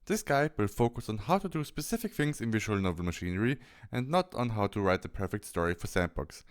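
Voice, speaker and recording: A man presenting a software tutorial step by step, thinking aloud a little hesitantly as he demonstrates. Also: lowish voice, lively intonation, fairly quick.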